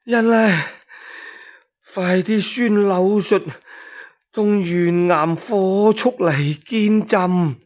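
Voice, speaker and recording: A man voicing a sick, enraged old emperor in a radio storytelling drama, halting and groaning as he repeats himself. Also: high-pitched, dull audio, voice pushed up, full character voice. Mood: furious, weak.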